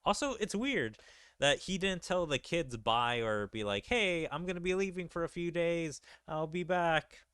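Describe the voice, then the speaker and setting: Slow-paced man commentating on a game. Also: fairly high pitch, expressive, fairly bright tone, clean tone, no room sound, studio quality. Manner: slangy, informal. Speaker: gaming streamer. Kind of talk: casual let's play commentary, reading game dialogue aloud.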